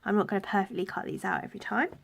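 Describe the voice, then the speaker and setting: A woman with a cold and a raspy, blocked-up voice, demonstrating a card-making project softly, sometimes near a whisper. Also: medium pitch, racing. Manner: soft, partly whispered while working. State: congested from a cold. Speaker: stamping craft demonstrator. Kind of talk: craft tutorial.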